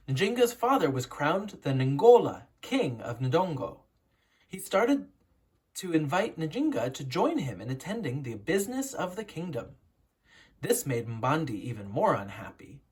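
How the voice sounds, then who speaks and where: A woman reading a children's picture book aloud as a teacher, telling the story dramatically with deliberate, emphatic pauses. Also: low-pitched, darkish tone, husky. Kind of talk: expressive children's storybook narration.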